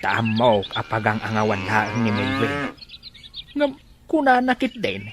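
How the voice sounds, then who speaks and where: A man's voice: on the low side, wildly swooping pitch, unhurried, crowd noise. A man acting an easygoing, respectful young countryman in a radio-style drama, with an Ilocano accent. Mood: respectful, explaining.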